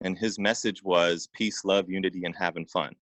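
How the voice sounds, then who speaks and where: A man with a low-pitched voice shares personal stories as an interview guest. He talks informally and haltingly, often repeating words and adding "you know." The sound is dry, with very little room around it. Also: fairly even intonation, a bit bright, no room sound. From Oregon, United States. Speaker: interview guest, acupuncturist and massage therapist. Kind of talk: casual personal storytelling in an interview.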